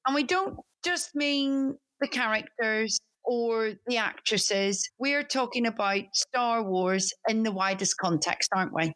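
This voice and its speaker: A woman's voice: a little high, lively intonation, a little slow, few pauses, fairly bright tone. A woman in her forties from Northern Ireland with a Northern Irish accent, co-hosting a podcast in a chatty, enthusiastic, rambling way. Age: 40s. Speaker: podcast co-host. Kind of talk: casual podcast chat.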